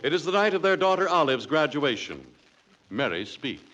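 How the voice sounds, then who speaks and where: Vintage radio announcer, higher-pitched man. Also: very animated, normal speed, fairly bright tone. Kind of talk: 1940s radio variety show announcing. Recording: dull vintage radio broadcast, audience, music. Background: music and live audience.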